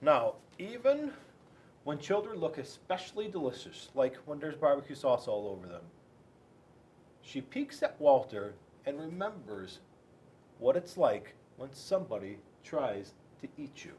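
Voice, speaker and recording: A man's voice: mid-range voice, sing-song, unhurried, darkish tone, slight background noise. A man reading a picture book aloud and chatting to children, playful and full of rhetorical questions. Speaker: school resource officer reading to children.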